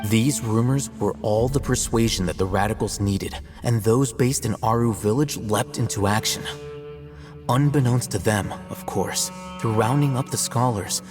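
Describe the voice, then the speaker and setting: An actor playing a calm, measured male academy scribe who explains things in a scholarly way in a video game. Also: on the low side, natural voice. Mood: explaining. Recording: long reverb with game music.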